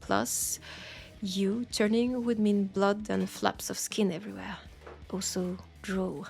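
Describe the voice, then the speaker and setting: A woman's voice: mid-range voice, steady tone, slow, vocal fry, a little mellow, soft background music. A woman with a French accent playing a teasing, nurturing witch who tames werewolves, chatting playfully and coaxingly to the listener in an audio roleplay. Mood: chatty, reassuring. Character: teasing, nurturing female witch, self-styled werewolf tamer.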